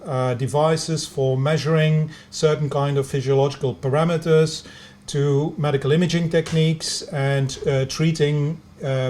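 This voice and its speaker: Man, Belgian Dutch accent, non-native English, middle-aged, lecturing, hesitant with fillers and restarts, slightly high voice, faint background noise. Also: a little mellow. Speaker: biomedical engineer giving a lecture. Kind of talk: lecture to students over video conference.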